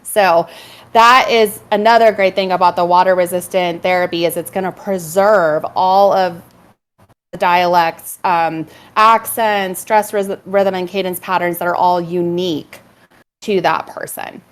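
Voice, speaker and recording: A woman voice coach from California with a little vocal fry, explaining vocal technique at length in a casual conversation, with frequent "um"s. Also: lowish voice, steady tone, measured pace. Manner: fluent, explanatory, many "um"s.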